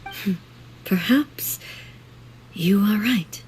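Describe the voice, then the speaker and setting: A woman playing a composed, gentle, reserved ruler in a video game, speaking in a measured way. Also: mid-range voice, slight background noise, poor audio. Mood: calm, reassuring. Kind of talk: video game character dialogue.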